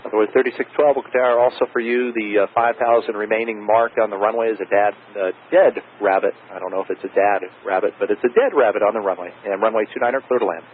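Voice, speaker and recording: Low-voiced tower controller on tinny radio. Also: male voice, steady tone, fast, hardly any pauses. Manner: procedural phrasing mixed with casual, hesitant asides. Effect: aviation radio. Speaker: airport tower air traffic controller. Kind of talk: air traffic radio instructions. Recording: narrow, tinny aviation radio with faint static.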